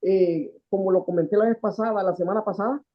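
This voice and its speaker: A man from Houston, a church leader in the class, telling a story in a slow, searching, reflective way. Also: high voice, steady tone, highs cut off. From Houston, United States.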